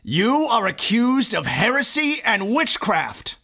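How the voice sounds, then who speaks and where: Man acting a creaky, fanatical witch-hunting reverend. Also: high voice, sing-song, sharp tone, exaggerated voice. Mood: menacing, accusing. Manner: slow, preaching, menacing. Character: fanatical self-righteous reverend, male witch-hunting cult master. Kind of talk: audio drama acting.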